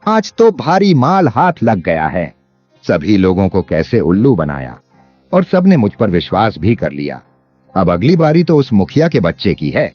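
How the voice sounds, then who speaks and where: A scheming, cowardly village landlord, played by a man in a cartoon moral tale. The voice is low but leaps around in exaggerated, theatrical swings. The audio is slightly dull, over music. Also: wildly swooping pitch, unhurried, heavily acted. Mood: gloating. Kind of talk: Hindi animated moral story acting.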